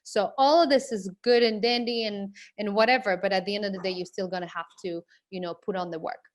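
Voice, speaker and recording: A woman's voice: mid-range voice, fairly even intonation, brisk. A woman from Morocco, an online business coach, giving a live online class in an earnest way with frequent fillers and restarts.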